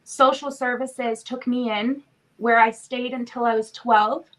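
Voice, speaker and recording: A woman's voice: on the high side, fairly even intonation, measured pace, fairly bright tone, low-quality recording. A woman in her twenties, sniffly from a cold, chatting casually as a podcast guest with lots of "like" fillers. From born in Romania, raised in Canada. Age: 20s. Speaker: interview guest.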